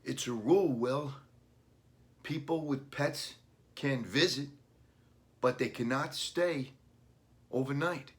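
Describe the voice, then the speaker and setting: A man reading a children's storybook, playing an earnest, sympathetic workmate who speaks haltingly. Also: medium pitch, pitched above the performer's own voice, lightly acted. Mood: sorry, insistent. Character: sympathetic male workmate bearing bad news.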